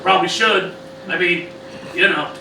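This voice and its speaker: A man's voice: sits high, normal speed, gravelly, far from the mic. A man playing a cynical, drunken old grandfather with stumbling, offhand delivery in a live comic radio play. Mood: offhand, chiding. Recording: echoey noisy live room.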